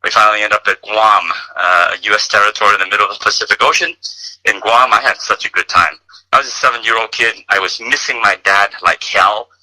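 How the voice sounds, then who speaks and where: A man's voice: on the low side, fairly even intonation, quick pace, sharp tone, rough recording. A man in his fifties from Vietnam, a radio guest telling a personal story in an earnest, conversational way with many fillers. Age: 50s. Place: radio studio.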